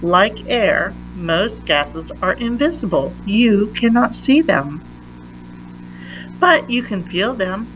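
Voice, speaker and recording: Female read-aloud narrator for a kids' science book, unhurried and emphatic, faint noise behind. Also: medium pitch, expressive, fairly bright tone, low-quality recording. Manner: deliberate, emphatic phrasing for young listeners.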